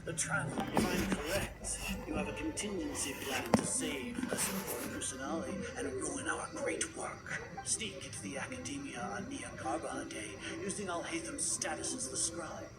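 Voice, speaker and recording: A man voices a pompous older grand sage from a video game, scheming and formal. He speaks in grandiose, deliberate phrases, in an echoey space with loud music behind him. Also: on the high side, natural voice. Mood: cold, accusing. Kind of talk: video game cutscene acting.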